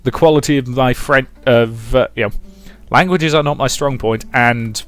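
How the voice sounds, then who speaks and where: A man's voice: medium pitch, moderate pace. A man reading a visual novel aloud as a let's player, mixing dramatic narration with casual commentary. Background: game music.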